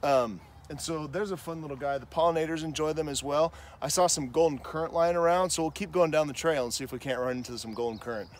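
A man's voice: a little high, quick pace, few pauses, a little hiss or hum. A man in his thirties on an outdoor nature trail, chatty and enthusiastic as he points out plants, recorded on the camera's mic. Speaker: gardening vlogger. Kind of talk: walking nature vlog. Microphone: handheld camera framing, on-camera mic.

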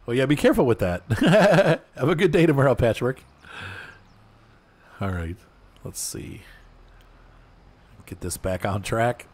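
A man chatting casually and rambling to viewers while playing a farming game, laughing often. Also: medium pitch. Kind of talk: casual gameplay chat.

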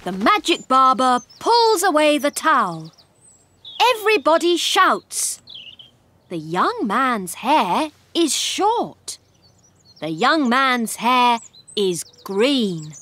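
A woman storyteller reads simple scripted narration for English learners. Her voice is fairly high and animated, with slow deliberate phrasing, over faint background noise. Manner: deliberate, expressive.

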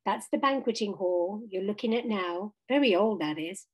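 A woman's voice: mid-range voice, lively intonation, normal speed, breathy edge, low-quality recording. A woman voicing a good-natured, cheerful male water rat in a storybook, chatty and emphatic. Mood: knowing.

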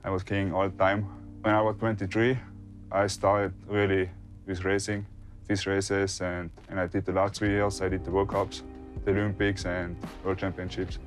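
A ski racer in his twenties with an Austrian accent answers interview questions. His voice is lowish and very even in pitch, recorded inside a vehicle with some music behind. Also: normal speed. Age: 20s. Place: inside a van or camper.

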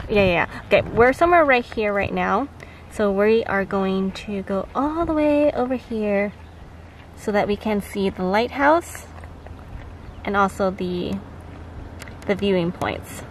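A woman's voice: medium pitch, animated, a little slow, slight background noise, poor audio. A young adult woman narrating a casual travel vlog in a chatty, enthusiastic way. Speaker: travel vlogger. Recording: prominent music behind the voice. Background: music.

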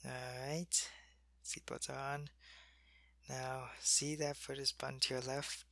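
Gravelly sick alien guy, a man doing it, soft whispery asmr roleplay, bright edge, dry close mic. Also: mid-range voice, flat delivery, a little slow, no echo. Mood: weary, gentle. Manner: soft-spoken, drifting into whispers. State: sick and weak. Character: sick male alien soldier, weak but dutiful.

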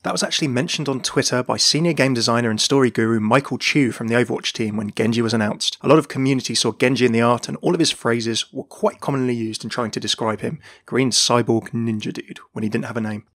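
A man's voice: medium pitch, quick pace, few pauses, fairly bright tone, no room sound, studio quality. A man reading a scripted explainer as the narrator of a video. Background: music.

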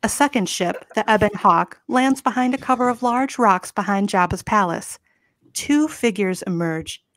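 A woman's voice: medium pitch, expressive, moderate pace, no echo. A woman reading scripted stage directions as narrator of a comedy table read. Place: home room. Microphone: home video call.

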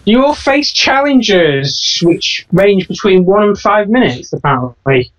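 A woman's voice: mid-range voice, big pitch swings, a little slow, distant mic, dull audio. A young woman playing a game-show host in a casual gaming chat, giggly and losing track of the rules.

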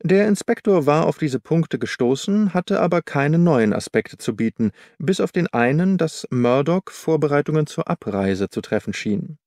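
A man narrating a detective story as an audiobook in a measured, literary, articulate manner. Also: fairly high pitch, very animated, darkish tone, no room sound, clean studio sound. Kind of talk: scripted first-person detective story narration.

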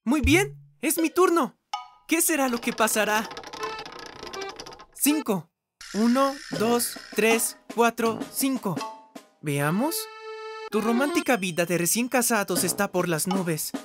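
A woman acts out an easygoing teenage anime boy with a youthful, fairly high voice. He comes across as naive, earnest and polite. Music is clearly audible behind him. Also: animated, slow, pauses often. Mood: curious. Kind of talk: anime fan dub acting.

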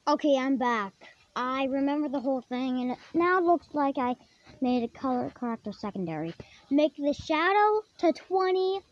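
A tutorial host casually explaining software steps in a halting way, often repeating and rephrasing. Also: high-pitched, dry recording. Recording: dull lo-fi microphone, clearly noisy.

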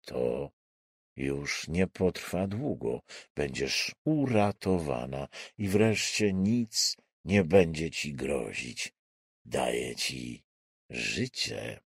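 Audiobook thriller villain, male narrator acting: self-styled saviour and torturer, deep, hushed, unhurried, soothing menace, dry sound. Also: lively intonation, husky, no echo, heavily acted. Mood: calm, menacing.

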